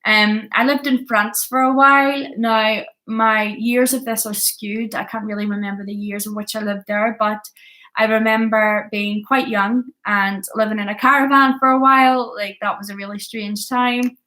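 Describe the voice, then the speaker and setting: A woman's voice: on the high side, fairly even intonation, moderate pace, bright, forceful tone, low-quality recording. Candid Belfast woman in her thirties. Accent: Belfast Northern Irish. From Belfast, Northern Ireland. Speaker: vlogger sharing a personal addiction story. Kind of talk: confessional personal storytelling, partly from notes.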